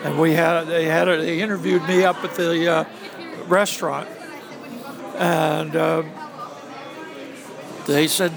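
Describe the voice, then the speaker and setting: A man in his seventies from New England, casually recalling his time as a ride guide, halting with many fillers. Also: on the high side, flat delivery, gravelly, crowd noise. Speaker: interviewee, former theme park ride guide. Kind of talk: casual reminiscing interview.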